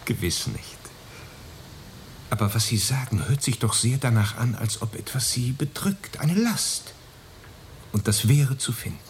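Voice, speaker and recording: An actor playing an earnest, courteous, solicitous doctor in a German radio play, formal and measured. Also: male voice, mid-range voice, lively intonation, a little hiss or hum. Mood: gently persuasive.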